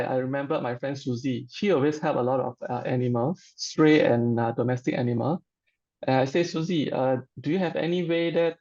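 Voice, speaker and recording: A middle-aged man with a Malaysian accent tells a personal story informally. His voice sits at a medium pitch with a creaky, gravelly edge. He speaks haltingly, with frequent hesitations, and the audio is dull and lo-fi. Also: a little mellow. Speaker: customer giving a testimonial.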